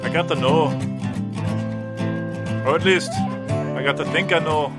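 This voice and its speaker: Man as a lovelorn rambler over loud guitar. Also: fairly high pitch, lightly acted. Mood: plaintive, indecisive. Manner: halting short phrases, plaintive. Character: lovelorn, anxious, rambling male suitor. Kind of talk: improvised comic monologue over guitar. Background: guitar music.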